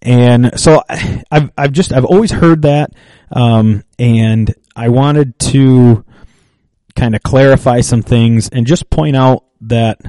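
Slow, halting man in a mellow voice. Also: medium pitch, dry recording. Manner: thoughtful, halting, with restarts and fillers. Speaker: podcast co-host. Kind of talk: casual chat.

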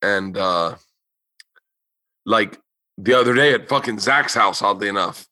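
A man's voice: on the low side, unhurried, sharp tone, dry recording, poor audio. A man hosting a podcast, telling stories in a loose, profane way and acting out what people said. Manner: loose, profane storytelling with quoted voices. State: losing his voice. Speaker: podcast host, comedian. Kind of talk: casual chat.

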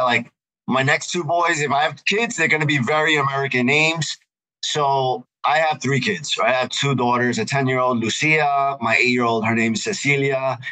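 A man, telling his life story as a podcast guest in a relaxed, conversational way. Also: mid-range voice, rough recording. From Queens, New York. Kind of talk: podcast interview.

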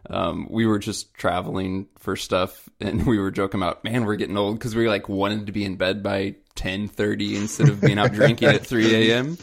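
A man with a fairly low voice hosts a podcast in casual conversation. His speech has a clear gravelly creak running through it. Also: fairly even intonation, normal speed, few pauses. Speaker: podcast host.

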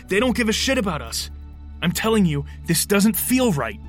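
A man's voice: high voice, sing-song, normal speed, soft background music. A man playing a wary, defiant fugitive in handcuffs in an audio drama, speaking with cynical urgency. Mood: urgent, frustrated.

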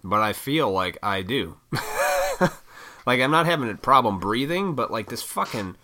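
A man in his thirties ranting to camera in a rambling, profane way, his throat froggy and needing clearing. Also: mid-range voice, huge pitch swings, measured pace, sharp tone. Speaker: vlogger. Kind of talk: rambling casual rant to camera.

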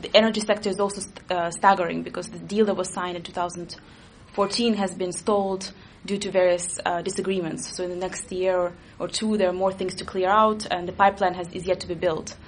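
Fast-talking woman, Russian native speaker. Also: on the low side, level tone, a little hiss or hum. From Latvia. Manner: quick, analytical, with frequent fillers and restarts. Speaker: interview guest, China-Russia expert. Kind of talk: interview answers.

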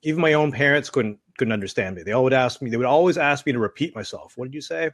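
A middle-aged man from Canada, an author on public speaking, answering interview questions in a measured, explanatory way. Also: dry recording, studio quality. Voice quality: deep voice. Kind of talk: interview guest.

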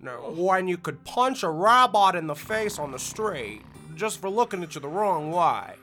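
A man's voice: sits high, very animated, moderate pace, pushed and bright, faint music behind, exaggerated voice. A man voicing an indignant old-timey rabbit android in a spoof radio commercial.

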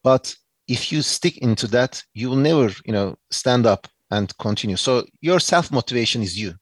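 A man's voice: medium pitch, expressive, moderate pace, breathy edge, dry recording. A man from Turkey with a Turkish accent, giving earnest, reflective answers as an interview guest, with many fillers and restarts.

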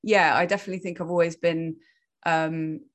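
Low, even-voiced woman. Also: a little fast. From Wales. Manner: thoughtful, many fillers and restarts, laughs often. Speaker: film director and writer, guest. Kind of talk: conversational interview answers.

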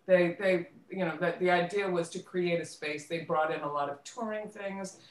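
A middle-aged woman in a conversational interview, recalling things haltingly and repeating words as she thinks. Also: lowish voice, steady tone. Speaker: guest, theater director and actress. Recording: roomy, echoing voice pickup.

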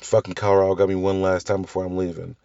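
A man's voice: sits low, flat delivery, quick pace, gravelly, warm tone, no echo, highs cut off. A man hosting a casual solo show about TV series, rambling through tangents with restarts and the odd swear, with a slight cough left over from the flu. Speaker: podcast host reviewing TV shows. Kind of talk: casual solo review chat.

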